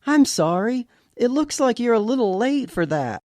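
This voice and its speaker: A man's voice: extremely high pitch, big pitch swings, moderate pace, a little mellow, no room sound, studio quality, pitched above the performer's own voice. A man voicing a polite, helpful young college-aged woman at an information desk.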